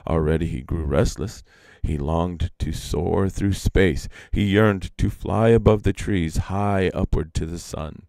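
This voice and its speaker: A man reading folk legends aloud as a storyteller, expressive and theatrical. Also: sits low, a little slow, vocal fry, hardly any pauses, no room sound.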